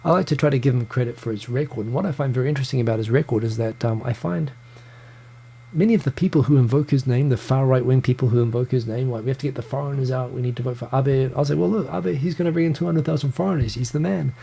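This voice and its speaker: Gravelly New Zealand man, live chat. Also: medium pitch, fast talker, dark tone. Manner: chatty, stumbling restarts, greets viewers by name. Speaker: live show host reading viewer comments. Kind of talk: casual live-stream chat. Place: home room in Tokyo.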